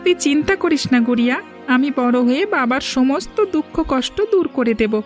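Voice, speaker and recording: Woman as young village boy, cartoon, high voice, earnest, consoling, protective, soft music. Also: brisk, lightly acted. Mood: reassuring. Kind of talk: cartoon voice acting.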